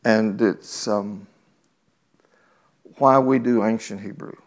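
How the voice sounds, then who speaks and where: A man's voice: fairly low pitch, steady tone, takes it slowly. A man preaching a sermon in a teasing, conversational way, reading scripture and talking directly to the congregation. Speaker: pastor.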